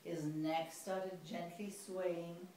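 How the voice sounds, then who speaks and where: Female storyteller, expressive picture-book reading, very roomy and slightly distant sound. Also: fairly low pitch, very slow. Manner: expressive, playful. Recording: echoey room, distant mic, faint noise.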